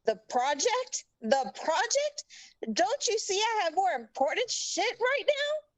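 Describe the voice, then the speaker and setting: A woman's voice: very high-pitched, very animated, slow, no echo, full character voice, poor audio. A woman playing a furious, foul-mouthed wife and mother in a heated, accusing way at a script table read. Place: remote video call rehearsal.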